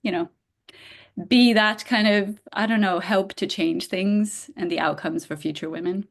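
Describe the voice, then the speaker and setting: A woman from Bulgaria, a guest in a casual interview, talking in an animated way with frequent filler words and quick laughs. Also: mid-range voice.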